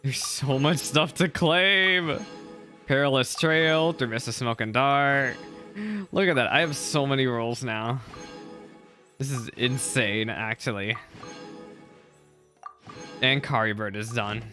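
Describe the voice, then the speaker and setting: A man casually streaming a game, chatty and excitable, repeating himself often as he talks. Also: medium pitch, takes it slowly, pauses often, soft background music. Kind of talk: casual livestream commentary.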